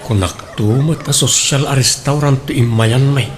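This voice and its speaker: A man's voice: mid-range voice, moderate pace, lightly acted. An actor playing an awkward, anxious young man in an Ilocano comedy radio drama, with a Filipino Ilocano accent. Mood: embarrassed.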